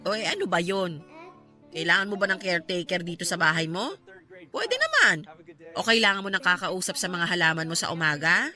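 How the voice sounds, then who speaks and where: A woman's voice: fairly low pitch, big pitch swings, fairly quick, sharp tone, soft background music. A woman with a Filipino accent acting in a radio drama as a caring, outspoken friend and mother, emphatic and coaxing. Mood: teasing.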